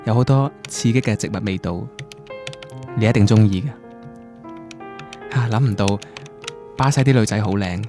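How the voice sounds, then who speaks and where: An actor as a dreamy young male art student with a lowish voice and Guangdong Cantonese accent. He muses tenderly through a radio drama letter, with music clearly playing behind him. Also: lightly acted. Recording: prominent music bed under voice.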